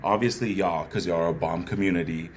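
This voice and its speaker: A man with a bassy voice talking as a guest, sharing personal stories earnestly and often checking in with "right?". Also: fairly even intonation, fairly quick, slight background noise. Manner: earnest, thoughtful, frequent "right?" asides. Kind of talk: reflective conversational storytelling.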